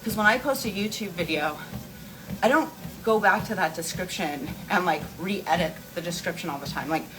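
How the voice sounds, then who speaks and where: Woman chatting casually over clear background noise. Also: fairly low pitch, normal speed. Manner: rambling, informal, explanatory; talking while walking on an inclined treadmill. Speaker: beauty and wellness livestream host. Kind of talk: casual chat answering viewer questions.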